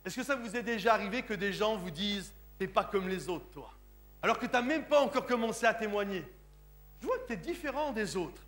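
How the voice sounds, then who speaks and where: Male pastor, emphatic sermon, very high pitch, bright tone, church. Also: expressive, brisk, faint music behind. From France, of Sicilian parents. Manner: emphatic, repeatedly asks the congregation "Comprenez-vous?".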